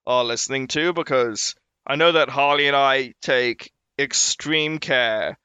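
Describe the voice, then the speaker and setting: Man with a crisp, bright voice. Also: medium pitch, measured pace, dry recording, highs cut off. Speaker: podcast co-host. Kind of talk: casual podcast chat.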